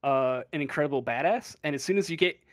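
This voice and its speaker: A man narrating a tabletop game as game master in a casual, halting, improvised way. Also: on the high side, no room sound, clean studio sound. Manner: halting, hesitant, casual delivery.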